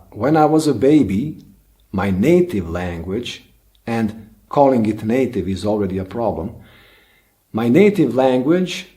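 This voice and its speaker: A man whose first language is Bosnian hosts his own channel, musing aloud in a slow, unhurried way. His voice is dark and mellow, with frequent "uh"s and restarted sentences as he thinks things through. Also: mid-range voice. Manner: slow, thoughtful, many fillers and restarts. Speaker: channel host. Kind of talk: reflective solo monologue.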